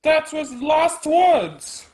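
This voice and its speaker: A woman playing a rough, superstitious male pirate crewman with exclamatory, theatrical delivery in a radio play. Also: sits high, animated, slow pace, a little mellow. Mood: urgent, fearful. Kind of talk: stage-style radio play acting.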